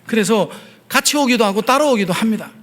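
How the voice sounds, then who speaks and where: High-voiced Gyeongsang-accented pastor preaching. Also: male voice, lively intonation, fairly quick, a bit bright. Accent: Gyeongsang Korean. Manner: earnest preaching with frequent hesitation fillers. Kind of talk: sermon. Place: church sanctuary.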